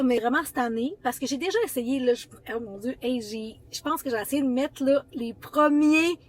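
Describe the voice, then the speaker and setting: A young woman with a Quebec French accent tells the story of starting her business in a casual, rambling vlog, full of fillers and restarts. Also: fairly high pitch. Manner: chatty and rambling, frequent fillers and self-corrections. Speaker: founder of a beauty brand.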